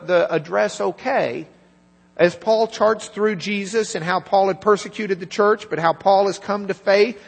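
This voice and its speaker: A man preaching a sermon, retelling a scripture story in a conversational way and quietly acting out the lines of its people. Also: high voice, creaky. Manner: conversational, acts out dialogue in quiet asides. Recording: reverberant hall with mains hum.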